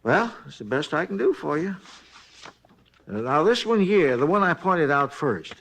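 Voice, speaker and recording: A man's voice: on the high side, normal speed, heavily acted. An actor playing a chatty, folksy older man looking over mug shots, in a 1950s radio drama. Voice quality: gravelly, lively folksy drawl. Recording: old radio broadcast recording.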